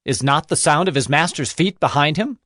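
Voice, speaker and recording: A man's voice: fairly high pitch, a little fast, a bit bright, no echo, clean studio sound, lightly acted. A man playing a bold, stern prophet in a dramatized audio Bible, with a solemn, declamatory delivery. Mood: indignant, warning.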